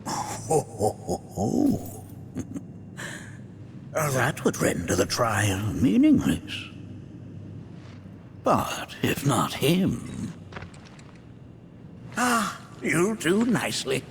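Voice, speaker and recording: An actor playing a wise, impish old village elder in a video game, with a grandiose, formal way of talking. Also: male voice, mid-range voice, huge pitch swings, very slow, vocal fry, long pauses, slight background noise, exaggerated voice. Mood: amused. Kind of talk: video game cutscene acting. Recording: loud music behind.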